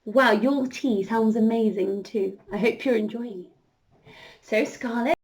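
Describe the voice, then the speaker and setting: Female children's entertainer, high register, slow delivery, expressive interactive storytelling with encouraging prompts to kids.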